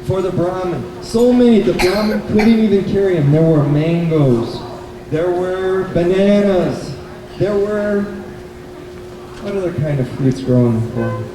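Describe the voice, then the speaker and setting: A man narrates a puppet show for children in a high voice. He goes slowly, repeating simple phrases and asking the listeners questions. The room is hugely reverberant, and there is clear background noise. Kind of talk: storytelling to children. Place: bookstore event space. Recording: very echoey hall, distant mic, noisy.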